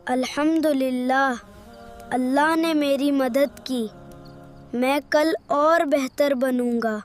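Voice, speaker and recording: A woman's voice: high-pitched, fairly even intonation, takes it slowly, soft background music. A woman voicing a young, earnest, well-behaved child in a moral cartoon for children. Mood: grateful.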